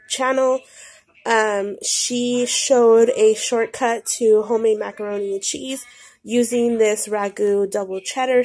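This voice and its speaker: Woman, stuffy nose from a cold, casual rambling chat, fairly high voice, faint hiss, home phone recording. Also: a little mellow. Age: adult with a daughter. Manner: chatty, rambling with frequent ums. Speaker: vlogger. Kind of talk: casual grocery haul vlog. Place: home, indoors. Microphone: phone camera pointed at groceries.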